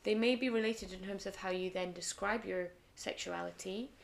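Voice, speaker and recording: A guest on a casual podcast, speaking in a rough, gravelly, froggy voice. The pitch sits in the middle range and the talk is animated, with bursts of laughter and quieter asides. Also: female voice, moderate pace. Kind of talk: casual conversation.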